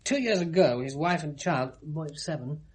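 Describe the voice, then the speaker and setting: A man with a British accent acting an unruffled police colleague in a 1970s radio drama, explaining things in a wry, measured way. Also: a little high, expressive, close to an everyday voice. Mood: matter-of-fact. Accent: British English.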